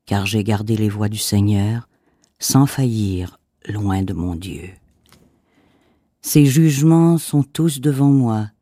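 A man reading a psalm aloud in a measured, reverent way, pausing phrase by phrase. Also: on the low side, mellow, no room sound, studio quality. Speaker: scripture reader. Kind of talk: slow solemn Bible reading.

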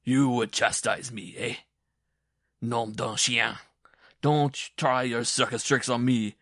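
Guy doing a big cocky circus tough guy, storybook villainy, loud sneering, gravelly. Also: fairly low pitch, animated, normal speed, no room sound, studio quality, heavily acted. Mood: roaring scorn. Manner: blustering, scornful. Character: big burly bareback rider, boastful, contemptuous man.

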